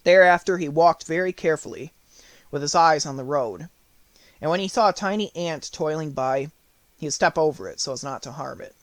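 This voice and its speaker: Higher-voiced man narrating a storybook. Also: brisk, no room sound. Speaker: audiobook narrator.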